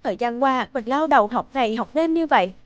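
Woman acting a high-pitched feisty schoolgirl. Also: expressive, fast talker, dull audio, natural voice. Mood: wistful, determined. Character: feisty, competitive teenage schoolgirl, grown into wistful young woman. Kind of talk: animated drama dubbing.

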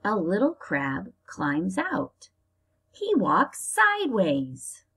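Slow, expressive American woman teacher, slightly husky. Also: medium pitch. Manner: slow, deliberate, expressive reading for young children. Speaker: elementary school teacher reading aloud to pupils. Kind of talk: children's read-aloud with teaching asides.

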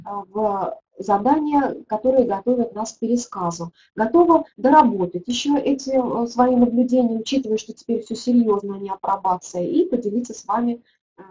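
A middle-aged woman, fairly high-voiced, speaks into a laptop or webcam mic from an indoor room. She runs an online teaching webinar, reading out questions and thinking aloud with plenty of small hesitations and "so"s. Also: warm tone, a little hiss or hum, rough recording. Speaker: teaching methodologist leading a webinar.

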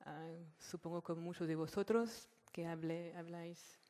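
A woman giving a public lecture as a guest speaker in non-native Spanish, halting as she searches for words. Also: fairly low pitch, fairly even intonation, mellow. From born in Morocco, French mother, Catalan family. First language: not Spanish; French-schooled. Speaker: guest speaker, sociologist and activist.

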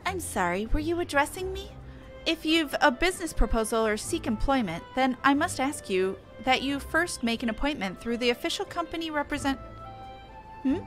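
A woman's voice: sits high, animated, moderate pace, over music. A woman voicing a haughty, officious company clerk with a formal, scolding manner.

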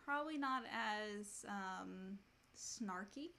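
A woman's voice: medium pitch, slow, gravelly, pushed and bright. A woman in her thirties co-hosting a casual chat and reading questions aloud. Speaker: co-host reading fan questions. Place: small room indoors, on a couch.

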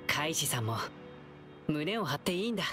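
An actor voicing a calm, wise young guardian who lectures gently in a game cutscene. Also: male voice, a little high, a little fast, music in the background. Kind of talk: Japanese game voice acting.

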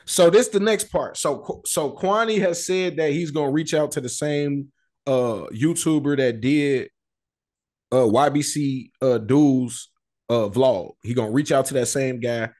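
A man's voice: medium pitch, unhurried, darkish tone. A man, sounding middle-aged, in casual, slangy street news commentary with profanity, often checking that the listener follows. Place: indoor room, video call.

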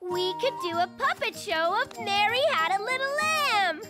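A woman's voice: extremely high pitch, expressive, moderate pace. A woman voicing an eager, earnest young girl bunny in a children's cartoon, a helpful best friend with a dramatic streak. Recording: music behind the voice.

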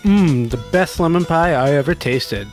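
A man's voice: fairly high pitch, lively intonation, moderate pace, faint music behind, natural voice. A man playing an eager everyday fellow in an amateur radio mystery drama. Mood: chatty.

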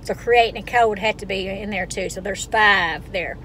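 A woman's voice: mid-range voice, normal speed, pushed and bright, poor audio. A woman, chatting to viewers in a casual vlog and calling them "y'all". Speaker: vlogger. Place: car interior, then at home. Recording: car noise behind voice.

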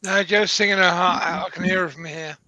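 A man's voice: sits high, fairly even intonation, brisk, sharp tone. A man co-hosting a live stream over a remote line, chatting and ribbing the host.

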